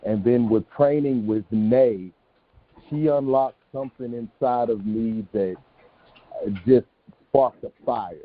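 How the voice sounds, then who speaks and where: A man's voice: mid-range voice, takes it slowly. A middle-aged man, a stage actor, giving a candid, reflective interview answer as a guest. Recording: tinny phone-like online call.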